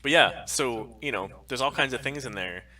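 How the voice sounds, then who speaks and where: A man's voice: mid-range voice, expressive, moderate pace, sharp tone, far from the mic. A man co-hosting a casual livestream podcast, talking emphatically and freely. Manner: emphatic, frequent swearing. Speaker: podcast co-host.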